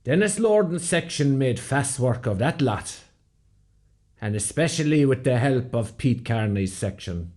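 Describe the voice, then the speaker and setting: An actor playing a proud rebel veteran, giving measured, scripted storytelling narration in a radio play. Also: male voice, medium pitch, expressive, dark tone. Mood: proud reflective. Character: proud Irish rebel veteran recounting a battle.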